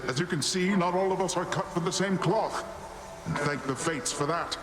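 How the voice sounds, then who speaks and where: An actor playing a boisterous sea god uncle in a video game, jovial and grandiose. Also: male voice, on the high side, roomy sound, lightly acted. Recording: loud rushing background noise.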